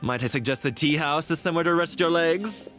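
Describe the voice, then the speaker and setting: An actor playing a talkative, shrewd and neighborly merchant in a video game, speaking in a folksy, earnest way. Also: male voice, a little high, brisk, fairly bright tone, soft background music, natural voice. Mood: helpful. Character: chatty, shrewd, neighborly male merchant shopkeeper.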